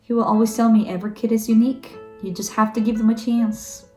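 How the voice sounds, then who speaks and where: A woman's voice: on the high side, little pitch movement, quick pace, a little mellow. Woman giving a heartfelt tribute. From Ecuador. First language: Spanish. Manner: heartfelt, earnest, some hesitation. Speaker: Rotary youth exchange coordinator. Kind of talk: recorded tribute message. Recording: roomy echo with faint guitar.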